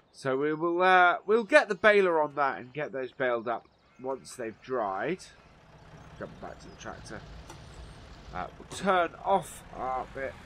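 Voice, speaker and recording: A man's voice: a little high, bright, forceful tone, slight background noise. A man with a slightly nasal, stuffy voice from hay fever, talking casually through a farming simulator role-play as he works. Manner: easygoing, thinking aloud as he works. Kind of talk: casual commentary while playing.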